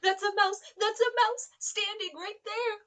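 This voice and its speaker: A woman voicing a big, gentle, easily frightened male elephant in an expressive storybook reading. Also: extremely high pitch, measured pace, pitched above the performer's own voice, exaggerated voice. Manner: exaggerated, expressive storybook delivery.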